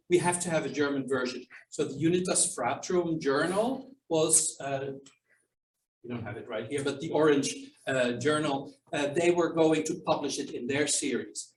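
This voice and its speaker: A man who is not a native English speaker, an author and archivist answering audience questions at a book launch, thoughtful and hesitant with frequent ums and restarts. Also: medium pitch. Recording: echoey room, mic from far off, faint noise.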